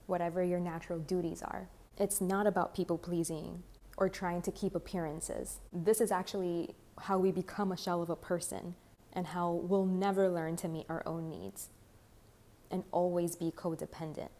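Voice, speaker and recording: A young woman, a bit hoarse, giving a rambling spiritual tarot talk full of "like" and "you know". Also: fairly low pitch, warm tone. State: slightly hoarse. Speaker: tarot reader. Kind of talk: channeled spiritual monologue.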